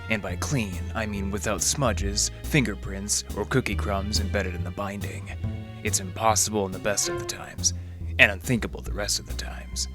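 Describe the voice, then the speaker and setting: Low-voiced hard-boiled library detective, played by a man. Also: fairly even intonation, moderate pace, music in the background, close to an everyday voice. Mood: world-weary resolve. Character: hard-boiled male library detective narrator, world-weary and self-serious. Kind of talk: film noir radio drama monologue.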